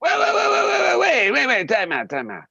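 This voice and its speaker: A performer playing an anxious, excitable animal in an animated comedy table read, swinging from meek to frantic. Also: high-pitched, fairly quick, creaky, full character voice. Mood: baffled. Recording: roomy, slightly dull sound.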